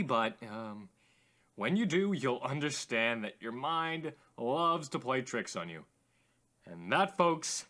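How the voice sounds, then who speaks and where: A man's voice: medium pitch, sharp tone. An actor in a radio drama playing an uneasy, hesitant male radio host. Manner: hesitant, halting. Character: uneasy radio host presenting a dead man's tapes.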